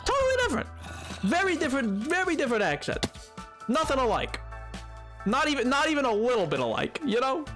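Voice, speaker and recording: A man's voice: extremely high pitch, huge pitch swings, fairly quick, a bit bright, soft background music. A young man streaming a game, chatting casually with his chat, rambling and restarting his sentences as he reads messages. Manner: rambling, restarts and laughs while reading chat.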